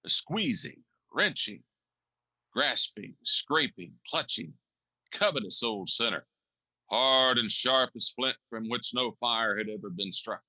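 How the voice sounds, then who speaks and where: A man with a very bright, sharp-edged voice narrates a radio drama. He lingers over each descriptive word with relish. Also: medium pitch, lively intonation, a little slow, rough recording. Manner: vivid, relishing descriptive words.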